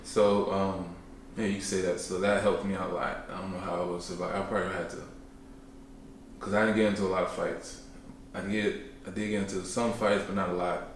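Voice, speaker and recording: Podcast guest, young man, deep voice, easygoing and rambling, recorded in a boomy room. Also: flat delivery, brisk, a little hiss or hum. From Chicago, South Side. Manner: laid-back, rambling, joking, trails off often. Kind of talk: casual conversational interview. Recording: echoey room, mic at a distance.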